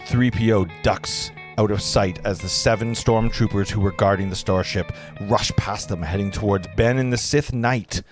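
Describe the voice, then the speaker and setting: A man's voice: lowish voice, moderate pace, few pauses. A man acting as narrator at a table read, reading screenplay stage directions aloud earnestly, with the odd stumble. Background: music.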